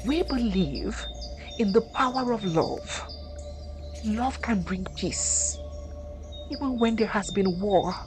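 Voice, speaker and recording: A brave, earnest young lover as a woman storyteller acts it pleads in slow, declaimed phrases. The pitch rises and falls dramatically, in storybook style, over faint music. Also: lively intonation. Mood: earnest, pleading.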